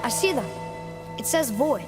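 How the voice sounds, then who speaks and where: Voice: high-pitched, sing-song, moderate pace, over loud music. A young performer playing an earnest, hopeful and curious boy in a video game, speaking with youthful sincerity. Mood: alert. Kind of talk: video game cinematic acting. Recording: long echoing hall, noisy background.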